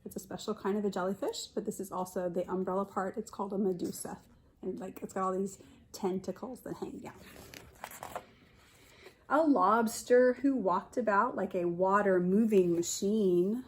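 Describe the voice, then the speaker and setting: A woman in her forties reading a picture book aloud to young children as a kindergarten teacher, expressive and explaining as she goes. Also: medium pitch, moderate pace, a little hiss or hum. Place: living room at home, indoors.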